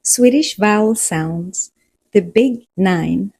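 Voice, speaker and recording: A woman narrating a language lesson in a slow, measured way. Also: medium pitch, vocal fry, darkish tone, husky, dry recording.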